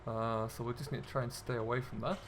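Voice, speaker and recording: A man's voice: mid-range voice, steady tone, fast. Chatty man commentating over vehicle noise. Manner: chatty, talks himself through the driving. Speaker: gaming video host. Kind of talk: casual role-play gameplay commentary.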